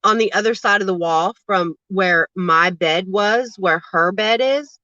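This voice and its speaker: Loud, bright-voiced woman, blunt and profane. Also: mid-range voice, measured pace, no room sound, muffled recording. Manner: blunt, profane, emphatic storytelling; says she has a naturally loud voice. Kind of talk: casual chat on a livestream.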